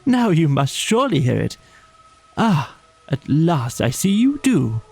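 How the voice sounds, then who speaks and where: An excitable, poetic water rat from a bedtime storybook, played by a man, pitched well up from a normal male voice. He exclaims in rapt, breathless, yearning phrases, with music playing behind him. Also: unhurried, creaky, breathy edge, heavily acted. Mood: joyous.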